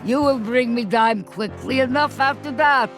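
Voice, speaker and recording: A woman's voice: fairly high pitch, moderate pace, close to an everyday voice. A woman voicing a scheming villainous sorceress duck, gloating in broken grammar. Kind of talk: video game cutscene acting. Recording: music behind the voice.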